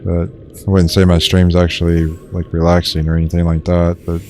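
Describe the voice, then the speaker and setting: A young man casually commenting while he streams a survival game, in a low-key monotone, rambling with fillers and restarts. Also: a little fast, soft background music.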